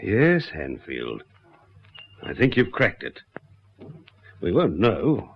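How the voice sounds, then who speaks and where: Aussie police inspector, slow thoughtful delivery, deep-ish, old muffled radio recording. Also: male voice, huge pitch swings, breathy edge, full character voice. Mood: pondering, grave. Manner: measured, musing, pausing between phrases. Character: shrewd, deliberate male police inspector in the Australian bush. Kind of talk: old radio crime drama acting.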